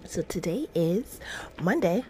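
A woman's voice: lowish voice, expressive, slow pace, a little mellow, a little hiss or hum. A middle-aged woman hosting a casual devotional livestream, reading aloud and adding informal, chatty asides. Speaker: livestream host. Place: small indoor room at home.

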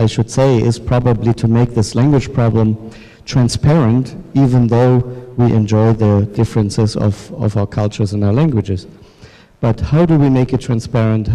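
A man moderating a panel discussion in a measured way, with pauses and restarts. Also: mid-range voice, mellow. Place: large lecture hall. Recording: reverberant hall sound.

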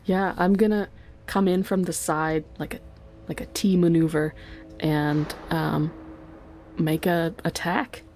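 A woman's voice: lowish voice, fairly even intonation, takes it slowly, darkish tone. A woman casually improvising her moves as a guest player in a tabletop game, thinking aloud. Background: music.

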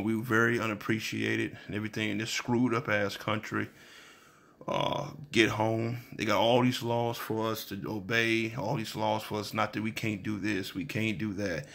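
A man's voice: on the low side, gravelly, a bit bright. An American man in his thirties talking casually and bluntly to a phone at arm's length indoors, repeating points for emphasis. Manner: blunt, swearing, repetitive emphatic advice. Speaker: truck driver giving advice. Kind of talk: casual vlog monologue. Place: small indoor room, plain wall.

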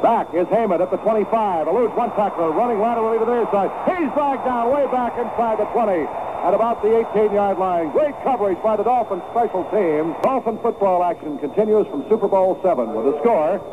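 High-pitched man calling football on noisy old radio. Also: lively intonation, fairly quick. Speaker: radio play-by-play announcer for a football team. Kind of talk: live sports play-by-play commentary. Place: stadium broadcast booth. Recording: noisy 1970s AM radio broadcast, narrow band. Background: loud stadium noise.

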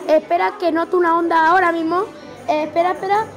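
A child of about ten playing an excited battle commentator in a homemade cartoon, repeating phrases. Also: very high voice, quick pace. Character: excited live commentator on a giant monster battle. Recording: music and chatter behind voice.

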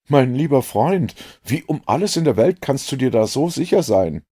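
Man putting on earnest sceptical gentleman, pitched well above his narration, emphatic, audiobook character. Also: very animated, normal speed, husky. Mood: sceptical, surprised. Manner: earnest, higher and more forceful delivery.

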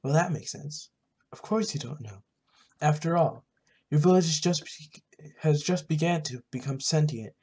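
A man's voice: on the high side, normal speed, a little mellow, husky. A man voicing a smug, power-hungry female witch who taunts in a condescending way, for a game roleplay.

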